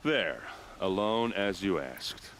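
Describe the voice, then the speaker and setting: Deep-voiced grieving guard captain. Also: male voice, big pitch swings, slow, a bit bright, a little hiss or hum, full character voice. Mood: curt. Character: grieving, hard-drinking male captain of the guard. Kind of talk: cinematic video game acting.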